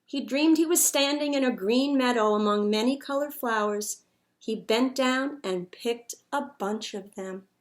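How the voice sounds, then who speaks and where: A woman reading a picture book aloud to children in an expressive, dramatic storyteller's manner. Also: a little high, a little slow.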